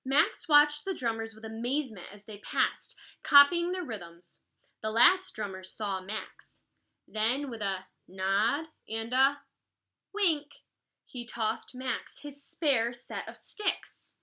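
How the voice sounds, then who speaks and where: A woman reads a children's picture book aloud in a fairly high, very bright voice. Her delivery is expressive and instructive, like a music teacher guiding young pupils through the story. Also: takes it slowly. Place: living room at home, indoors. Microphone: device mic about arm's length away.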